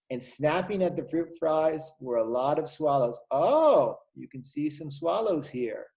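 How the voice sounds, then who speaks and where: A man teaching kindergarten, reading a storybook aloud to young children and asking them questions in an animated, encouraging way. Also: a little high, creaky, rough recording.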